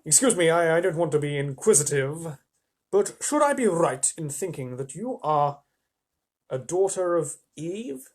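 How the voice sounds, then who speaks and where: A man voicing an excitable, courteous fawn with a flustered, effusive, old-fashioned politeness in a storybook reading. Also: on the high side, unhurried.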